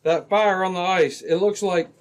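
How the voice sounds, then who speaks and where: A man's voice: sits high, fairly even intonation, moderate pace, lightly acted. A man playing a brave, decisive Mountie sergeant in an old-time radio adventure, speaking with authority. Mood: alert, commanding. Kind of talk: re-created old-time radio drama acting.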